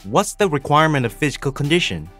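A man's voice: fairly high pitch, sing-song, quick pace, fairly bright tone. Man reading questions over music. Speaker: question reader. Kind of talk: scripted questions for an explainer. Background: background music.

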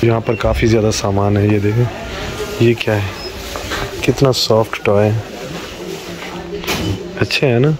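Family vlogger, male, 40s, lowish voice, chatty running commentary, phone at arm's length, noisy background. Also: steady tone, a little slow, long pauses. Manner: chatty, narrates every step, urges others to hurry. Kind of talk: casual running vlog commentary. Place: in a car, at home and in a supermarket. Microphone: phone camera held at arm's length. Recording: noisy handheld phone audio.

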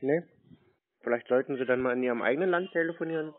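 A man on a phone call, baiting the caller in a terse, deadpan, dryly provocative manner. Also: mid-range voice, fast talker, no echo. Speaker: prank host answering scam calls. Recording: narrow, tinny phone line.

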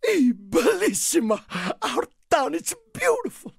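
A man voicing a small, excitable, proud Italian car in a video game, with an Italian accent and theatrical broken English. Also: extremely high pitch, measured pace, vocal fry, no room sound, clean studio sound, heavily acted. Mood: excited, proud. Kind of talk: animated video game character lines.

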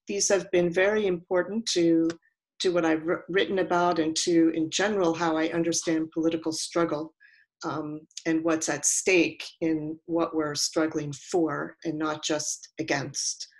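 An older woman giving reflective, prepared remarks as a panelist in a measured, deliberate manner. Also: low voice. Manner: measured, deliberate, long considered sentences. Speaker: panelist, scholar and writer.

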